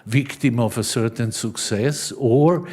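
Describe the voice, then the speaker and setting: A man with an Italian accent giving a seminar presentation in halting English, often adding "no?" as he searches for words. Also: mid-range voice, warm tone. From Switzerland. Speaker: conference speaker.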